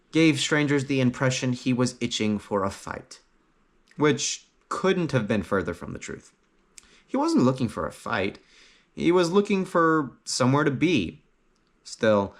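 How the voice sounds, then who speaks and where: A man reading a fantasy story aloud as an audiobook narrator, in a dramatic, phrase-by-phrase way. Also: medium pitch.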